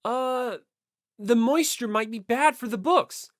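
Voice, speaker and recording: An audiobook reader voicing an earnest, polite high school boy who speaks up a little tentatively. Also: slow pace, no room sound, clean studio sound, lightly acted. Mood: hesitant. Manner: eager, tentative.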